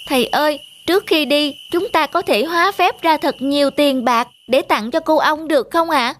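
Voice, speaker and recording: High-pitched little monkey boy. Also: normal speed, a bit bright. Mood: pleading. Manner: childlike, earnest, polite. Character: eager naive little monkey boy apprentice. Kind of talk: dubbed children's moral cartoon. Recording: music behind the dialogue.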